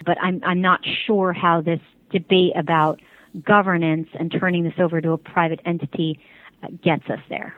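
A woman with a slightly low voice answers interview questions as a spokesperson. She is careful and diplomatic, and the sound is thin and phone-like, with no room echo. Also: flat delivery, moderate pace. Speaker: interview guest, advocacy group spokesperson. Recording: tinny phone line.

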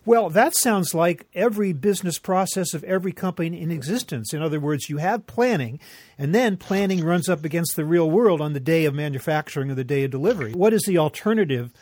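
A man acts as interviewer, articulate and measured as he probes with questions. His voice sits a little high for a man, and it is recorded very dry, with no room sound. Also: expressive, darkish tone, dry recording.

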